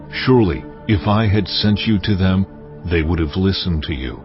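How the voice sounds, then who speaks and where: An actor playing God as a stern, commanding deity, speaking with solemn weight and deliberation in a dramatized scripture recording. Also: male voice, deep voice, lo-fi sound.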